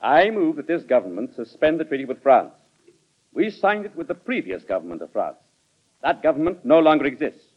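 An actor playing a stubborn, combative Treasury Secretary in an old-time radio drama, argumentative and clipped. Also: male voice, on the high side, big pitch swings, brisk. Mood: insistent. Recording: dull vintage radio recording.

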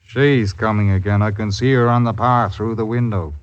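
An actor playing a grim, guilty country husband in a 1950s radio drama, with a terse way of speaking. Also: male voice, lowish voice, steady tone, close to an everyday voice. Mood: uneasy, curt. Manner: slow, terse. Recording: dull old radio recording.

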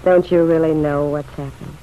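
A woman's voice: deep voice, steady tone, moderate pace, warm tone. An actress playing an anxious, world-weary working-class woman in an old radio drama, with pleading, colloquial speech. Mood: anxious, bitter. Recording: muffled, noisy old radio recording.

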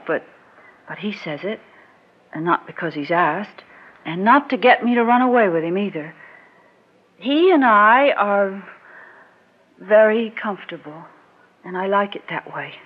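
An American actress playing a composed, resolute, quietly wistful married woman in a mid-century radio drama. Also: medium pitch. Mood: earnest, firm. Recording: dull old radio recording.

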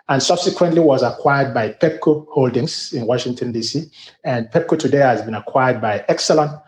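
A man's voice: mid-range voice, a little mellow, echoey room, slight background noise. A middle-aged man from Nigeria with a Nigerian accent, a university sustainability director giving earnest, formal interview answers with frequent restarts.

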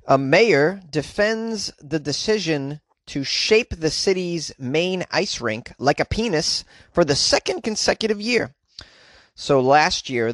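A man hosting a casual comedy news commentary, reading stories aloud and riffing on them with goofy, excitable, slangy asides. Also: a little high, dry recording.

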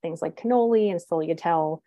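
A woman with a somewhat low voice, appearing as a guest on a food podcast and explaining things carefully, full of small hedges. She sounds close and dry, with no echo around her. Her tone is measured and considered. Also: narrow, tinny audio.

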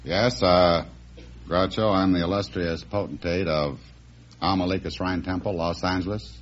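A man's voice: low-pitched, steady tone, moderate pace. A man, a quiz show contestant, speaking formally and earnestly on an old radio recording. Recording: muffled, noisy vintage radio recording.

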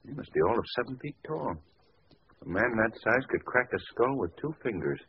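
An actor playing an urbane, unflappable gentleman with polished banter, in 1940s radio style. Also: medium pitch, lively intonation, fairly quick, breathy edge. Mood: genial, wry. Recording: noisy muffled old radio recording.

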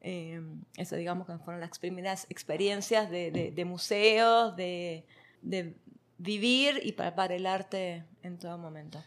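A woman's voice: fairly low pitch, measured pace. A woman from Argentina, a guest in an interview, speaking reflectively with many fillers and light laughs. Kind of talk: conversational interview.

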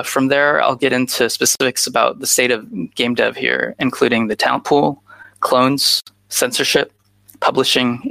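A man's voice: medium pitch, creaky, pushed and bright, dry recording. A man in his thirties, from Chicago, giving a prepared talk in an earnest, explanatory way with many filler sounds. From Chicago, USA. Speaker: conference speaker. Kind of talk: prepared talk over a remote connection.

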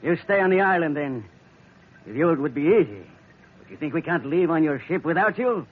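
A man's voice: a little high, expressive, brisk, slightly rough. An actor playing a ruthless male smuggler villain who speaks broken English in a taunting way. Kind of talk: 1940s radio acting. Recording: muffled old radio broadcast, hiss.

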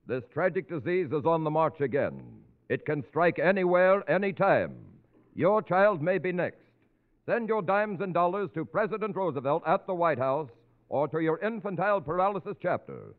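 A man's voice: on the high side, sing-song, moderate pace. An American man reading scripted announcements in 1940s radio style. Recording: tinny, narrow-band 1940s radio broadcast.

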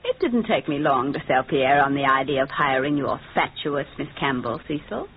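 Haughty schemer, coquettish society lady, lively swooping intonation, old-time radio drama, thin vintage broadcast sound. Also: extremely low pitch, normal speed, a little hiss or hum. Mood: haughty, cold. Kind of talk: 1940s radio drama acting. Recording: muffled, narrow-band old radio recording.

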